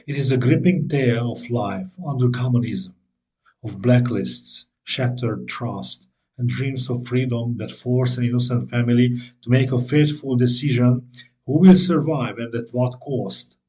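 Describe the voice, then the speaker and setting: A man's voice: medium pitch, a little slow, warm tone, rough recording. A man hosting a history podcast, formal and earnest, presenting and asking questions. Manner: formal, earnest, some hesitant fillers when asking. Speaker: podcast host. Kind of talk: scripted intro and interview questions.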